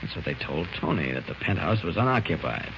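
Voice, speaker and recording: Superhero: deep voice, resolute self-narration, muffled vintage serial sound. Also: male voice, big pitch swings, brisk, vocal fry, full character voice. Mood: resolute, searching. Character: determined, heroic male superhero thinking aloud. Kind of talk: 1940s radio acting. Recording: muffled, noisy old radio recording.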